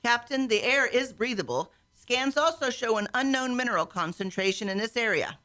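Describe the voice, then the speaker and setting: Woman acting bright-voiced, dutiful science lieutenant. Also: a little high, lively intonation, moderate pace. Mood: businesslike. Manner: crisp, factual reporting. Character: dutiful, level-headed female science lieutenant aboard a starship. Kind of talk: science fiction radio play acting.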